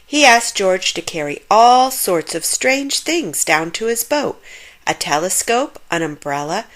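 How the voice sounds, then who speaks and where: Woman, children's story narrator, slow pace, lively varied pitch, expressive read-aloud. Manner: unhurried, expressive.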